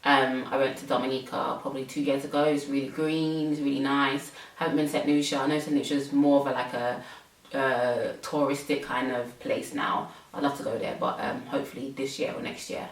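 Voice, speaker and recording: A woman's voice: very deep voice, flat delivery, fairly quick, recorded from a distance. A young woman from England, hosting a casual chat and talking with many fillers. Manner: chatty, many fillers, laughs often. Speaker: host. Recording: roomy, from off mic.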